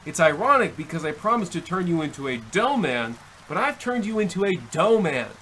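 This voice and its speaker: A man's voice: sits high, big pitch swings, fairly quick, fairly bright tone, a little hiss or hum, rough recording. A man playing a bumbling trickster devil in a comedic radio play, theatrical and flustered as he haggles over souls. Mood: gleeful, scolding. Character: bumbling, nagged trickster devil striking soul deals. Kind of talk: comedic old-time radio play acting.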